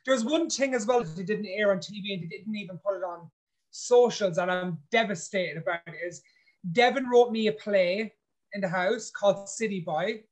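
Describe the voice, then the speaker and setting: A man's voice: sits high, normal speed, rough recording. A man, a reality show contestant, chatting in an interview in an outspoken, blunt way, swearing freely. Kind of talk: casual interview chat.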